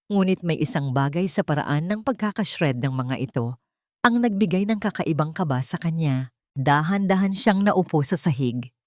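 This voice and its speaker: Female audiobook narrator, dramatic storytelling, lowish pitch, close dry studio-like sound. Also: mellow, no echo.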